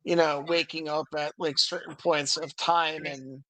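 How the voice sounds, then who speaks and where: A man's voice: fairly high pitch, flat delivery, measured pace, crowd noise. An American man talking casually and giving opinions on a podcast, searching for his phrasing as he goes.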